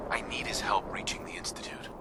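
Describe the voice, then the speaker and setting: A man's voice: medium pitch, fairly quick, in a whisper, close to an everyday voice. A man playing an earnest soldier hero in a video game, plain, direct and inquiring. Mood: puzzled, earnest.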